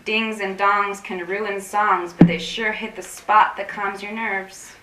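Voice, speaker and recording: A woman's voice: mid-range voice, little pitch movement, slow, close to an everyday voice. An actress playing an anxious, intense woman in a staged play reading, speaking in a wordy, confessional, dramatic way. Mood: needling, coaxing. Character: anxious, intense woman craving digital disconnection. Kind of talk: theatrical staged reading, monologue-like dialogue. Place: room at a live staged reading. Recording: roomy, distant mic, faint noise.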